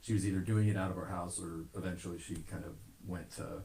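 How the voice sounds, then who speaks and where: A man's voice: low voice, steady tone, fast talker, vocal fry, mellow, a little hiss or hum. An American man from Nebraska talking casually and earnestly as a podcast guest, rambling with frequent filler phrases. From Omaha, Nebraska. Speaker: podcast guest, gym owner. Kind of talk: casual conversational interview. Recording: roomy, echoing podcast recording.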